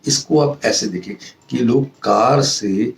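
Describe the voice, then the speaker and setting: A man with an Indian accent giving an informal lesson to viewers as a professor, in a halting way that repeats phrases for emphasis. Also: medium pitch, creaky. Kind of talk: informal instructional monologue to viewers. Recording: roomy echo, distant mic, music behind.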